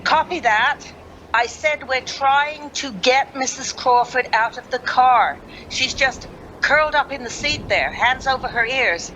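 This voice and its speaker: Woman acting a bright, high-voiced rescue officer. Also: measured pace, a little hiss or hum, low-quality recording. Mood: businesslike. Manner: businesslike yet folksy. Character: brisk, chatty female rescue officer reporting by radio. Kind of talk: radio play acting.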